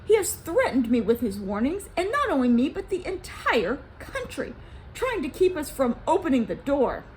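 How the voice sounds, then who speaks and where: A woman voicing a haughty, angry male president in a storybook, forceful and imperious. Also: sits high, huge pitch swings, normal speed, slight background noise, pitched above the performer's own voice, poor audio. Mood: indignant.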